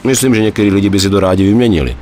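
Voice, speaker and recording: A man's voice: on the low side, a little mellow, slight background noise, close to an everyday voice. A man voicing a cool, laconic mobster in a Czech video game dub. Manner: terse, matter-of-fact.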